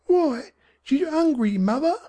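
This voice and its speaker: Woman voicing a high-pitched, streetwise slum boy. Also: lively intonation, takes it slowly, mellow. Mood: gentle. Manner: rough dropped-grammar slang. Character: streetwise slum boy, defiant, speaking rough London slang. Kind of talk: audiobook character voice.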